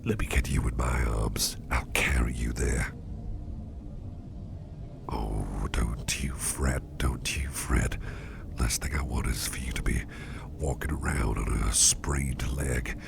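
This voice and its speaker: Guy doing a super deep gravelly caring boss asmr thing, breathy whisper, soothing and protective. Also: fairly even intonation, slow pace, noisy background, exaggerated voice. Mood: soothing, concerned. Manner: soothing, hesitant, repeating reassurances. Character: caring, protective male boss, gentlemanly businessman, soft-spoken and doting. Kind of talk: ASMR roleplay, intimate personal attention.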